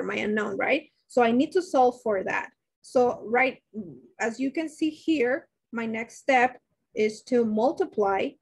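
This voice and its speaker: A woman's voice: a little high, slightly rough. A woman nursing instructor working through a dosage problem in a live online lecture, restarting sentences and asking her class questions. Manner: thinks aloud with many restarts, asks class questions. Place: indoors, webcam beside shared slides.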